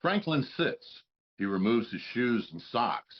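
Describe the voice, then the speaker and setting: A man with a husky voice reading stage directions as scripted narration at a live table read. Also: mid-range voice, big pitch swings, unhurried, dull audio.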